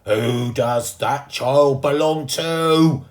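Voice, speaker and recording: A man's voice: medium pitch, drawn-out words, reverberant, voice pushed up. A man voicing a fierce, angry grown man who roars stern orders. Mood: furious. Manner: roaring, stern.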